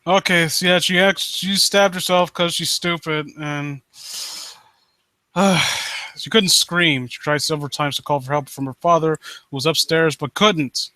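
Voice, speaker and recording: A man casually riffing as a host who reads and mocks stories, sarcastic and quick to swear. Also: on the high side, steady tone, pushed and bright, no echo. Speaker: host reading and critiquing horror stories live. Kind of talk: casual riffing commentary with read-aloud passages.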